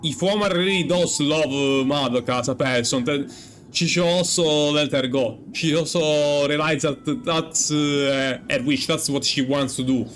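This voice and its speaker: Halting, musing male gamer. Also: fairly high pitch, a bit bright, slight background noise. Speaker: gaming streamer. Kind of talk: casual playthrough commentary. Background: game music.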